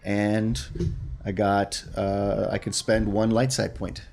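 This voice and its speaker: A man playing a tabletop role-playing game, thinking aloud in casual, halting talk. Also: fairly low pitch, little pitch movement, gravelly, darkish tone, slight background noise.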